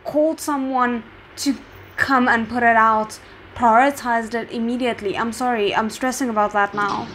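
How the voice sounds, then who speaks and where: Female reaction host, higher-pitched voice, off-the-cuff commentary on a show, noticeably noisy recording. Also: steady tone, moderate pace. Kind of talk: spontaneous reaction commentary on a drama series. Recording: clearly noisy background.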